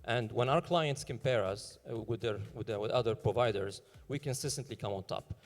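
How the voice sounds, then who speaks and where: A man pitching his startup and answering questions on stage, talking fast with many fillers and restarts. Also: in a large hall.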